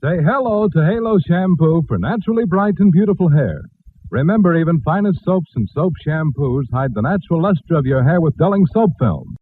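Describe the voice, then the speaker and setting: A man's voice: on the high side, lively intonation, brisk. A man reading a scripted 1940s radio commercial, recorded on a tinny, noisy vintage broadcast. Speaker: commercial announcer.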